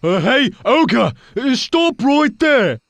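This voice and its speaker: A bumbling, eager cartoon guard for a game. He is high-pitched and slow, dopey and halting, with wildly swinging intonation. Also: very animated, gravelly, a bit bright, no echo, exaggerated voice. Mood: flustered bluster. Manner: hesitant, stumbling, dopey. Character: dim-witted, bumbling, eager fairy-tale guard. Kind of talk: cartoon video game acting.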